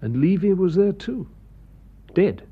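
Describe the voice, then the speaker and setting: An actor playing a plain-spoken police detective in a radio drama, direct and insistent. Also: male voice, sits high, animated, unhurried, breathy edge, highs cut off, exaggerated voice. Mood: puzzled. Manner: direct, questioning. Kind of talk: classic radio drama acting.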